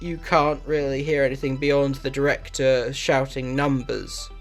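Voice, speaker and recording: A man delivering scripted review narration in a measured, matter-of-fact way. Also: medium pitch, level tone, soft background music. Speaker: video reviewer.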